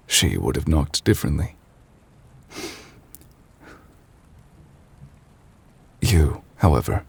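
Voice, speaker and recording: Guy doing a super deep gravelly old judge for asmr roleplay, formal n gentle, kinda weary. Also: full character voice. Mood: quietly welcoming. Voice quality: husky, breathy low voice. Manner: formal, measured, hesitant pauses, trailing off. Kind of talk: intimate ASMR audio roleplay, slow dramatic monologue.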